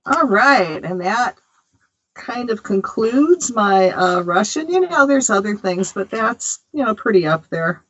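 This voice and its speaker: A woman's voice: medium pitch, lively intonation, measured pace, a bit bright, muffled recording. An American woman hosting a spiritual radio show, talking in a chatty, opinionated way with many fillers. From United States. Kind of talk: casual spiritual radio talk.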